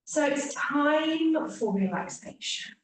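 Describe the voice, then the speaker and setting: A woman teacher leading a guided relaxation meditation, speaking gently with long pauses and hushed phrase endings. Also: high-pitched, expressive. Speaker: yoga nidra teacher. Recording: echoey room, distant mic, faint hiss.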